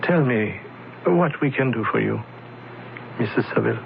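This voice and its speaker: A composed company man who soothes an upset visitor while giving nothing away, speaking in measured phrases with a gravelly texture. The sound is thin and phone-like, an old radio broadcast with light hiss. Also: medium pitch, lively intonation. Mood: soothing. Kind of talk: 1970s radio drama acting.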